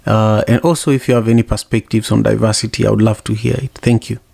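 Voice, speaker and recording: A male host speaks in a steady, monotone, gravelly voice. He moderates an online discussion, calling on speakers with frequent uhs and repeated words. The sound is dry and close. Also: on the low side, fast talker, no echo.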